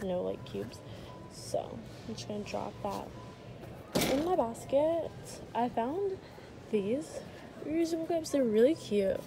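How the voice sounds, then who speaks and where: A woman's voice: a little high, creaky, low-quality recording. A young woman in her twenties vlogging casually to a handheld phone camera, chatting while she shops. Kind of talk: casual vlog narration. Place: store aisles, later a parked car. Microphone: phone camera held at arm's length.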